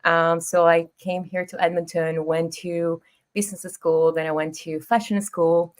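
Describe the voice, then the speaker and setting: A woman in her thirties from Ecuador with a Spanish accent, telling her story as a podcast guest in an expressive, easygoing way. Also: fairly low pitch, a little slow. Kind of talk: casual interview storytelling.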